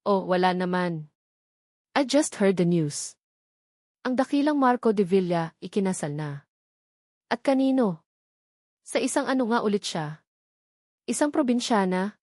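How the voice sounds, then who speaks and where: A woman plays a venomously sweet socialite in a romantic audio drama. Her Filipino accent carries a condescending, sugary tone at medium pitch. The recording is dry and close. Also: lively intonation, measured pace, pauses often, darkish tone, no room sound. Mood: sweetly mocking.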